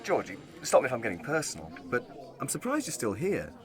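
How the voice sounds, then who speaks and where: A man's voice: medium pitch, wildly swooping pitch, quick pace, crowd noise. An actor playing an affable, bumbling, evasive British man in an audio comedy. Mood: curious. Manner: chatty, evasive. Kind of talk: audio sitcom acting.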